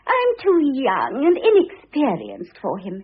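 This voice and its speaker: High, gushing, self-absorbed young wife. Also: female voice, huge pitch swings, a little slow, phone-quality sound, heavily acted. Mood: self-sacrificing pose. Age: young adult. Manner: gushing, theatrical, wheedling. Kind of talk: classic radio drama acting. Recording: thin band-limited old radio recording.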